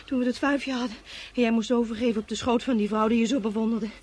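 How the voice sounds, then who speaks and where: A woman's voice: a little high, fairly even intonation, quick pace, a little mellow, slightly rough. An actress in a radio drama playing a weary woman fleeing on foot, plaintive and reproachful, sometimes drifting into dreamy murmurs. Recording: noisy radio play recording.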